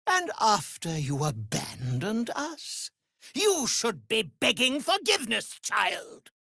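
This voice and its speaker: A man's voice: high-pitched, wildly swooping pitch, takes it slowly, creaky, bright, forceful tone, slightly rough, exaggerated voice. An actor voicing a cruel, pompous male vampire lord in a video game, imperious and sneering in his delivery. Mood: commanding, scornful. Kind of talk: theatrical video game villain acting.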